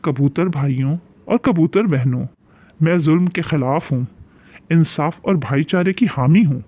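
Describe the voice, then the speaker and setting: Man acting as a big female eagle monarch, somewhat high male pitch, sly, speechifying, persuasive, cartoon fable. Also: dark tone. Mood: persuasive, solemn. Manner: grand, persuasive speech-making. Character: big, powerful, sly female eagle who rules pigeons. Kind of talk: acted cartoon fairy-tale dialogue.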